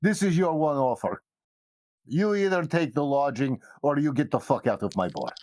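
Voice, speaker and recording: Scheming fantasy bar owner, played by a man, theatrical producer type, halting gushy patter, dry recording. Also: on the high side, animated, darkish tone, no echo, lightly acted. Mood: stern.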